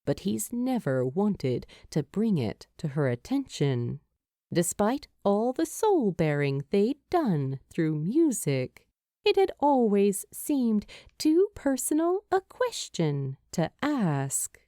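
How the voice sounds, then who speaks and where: Slow, mellow woman narrating a story. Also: mid-range voice, very animated, no echo, clean studio sound. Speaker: fan fiction narrator.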